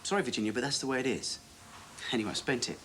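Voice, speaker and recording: A man's voice: medium pitch, very fast, a bit bright, slight background noise, lightly acted. An actor playing a jokey, teasing local radio DJ presenting his show. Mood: stubborn. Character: breezy male local radio DJ, quick-witted. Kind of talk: 1980 TV drama acting.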